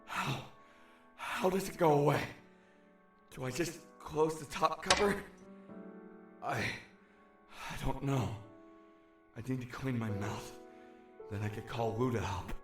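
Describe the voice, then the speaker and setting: An actor playing an anxious young shapeshifter from another realm in an audio drama, talking to himself in a fretful, halting way. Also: male voice, mid-range voice, natural voice. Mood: drained, uncertain. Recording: roomy echo over prominent music.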